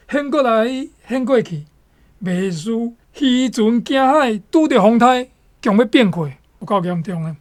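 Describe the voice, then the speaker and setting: A woman with a Taiwanese accent giving spoken commentary on a story as a guest, emphatic and rephrasing as she goes. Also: medium pitch.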